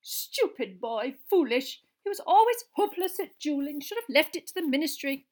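A woman voicing a stern older female schoolteacher in a storybook, prim, sharp and proper. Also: high-pitched, animated, fast, voice pushed up. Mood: tearful.

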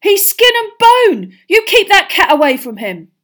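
A woman voicing an irritable, hot-tempered teenage schoolboy in an audiobook, with loud, indignant delivery. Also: high-pitched, sing-song, normal speed, voice pushed up, full character voice. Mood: furious yelling. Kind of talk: audiobook character voice.

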